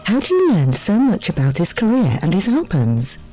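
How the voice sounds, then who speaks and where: A woman interviewer reading out scripted questions in a measured way. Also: mid-range voice, huge pitch swings, warm tone, faint music behind, poor audio.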